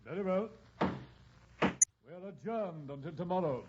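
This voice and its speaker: An actor playing a stern, formal English negotiator in old-time radio drama, declamatory and unyielding. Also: male voice, high-pitched, expressive, takes it slowly, very noisy. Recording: dull old radio recording.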